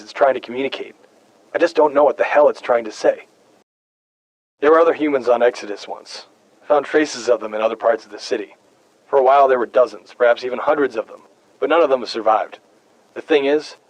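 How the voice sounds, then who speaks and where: Guy doing a haunted tired survivor man in a sci-fi game, medium pitch, hesitant brooding log entries like he's confiding in you. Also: lightly acted, rough recording. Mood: uneasy, brooding. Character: weary, haunted human survivor stranded decades on alien planet. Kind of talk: dramatic audio-log monologue for a video game.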